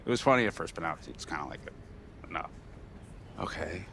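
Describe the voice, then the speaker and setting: An actor playing an awkward young man, speaking haltingly and earnestly in a naturalistic screen style. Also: deep voice, lively intonation, a bit bright, a little hiss or hum. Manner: halting, hesitant.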